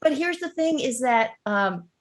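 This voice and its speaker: A woman in her fifties, a guest on an interview show, telling her story in a chatty, animated way and talking to listeners directly. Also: high-pitched, a little slow. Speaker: guest, real estate broker.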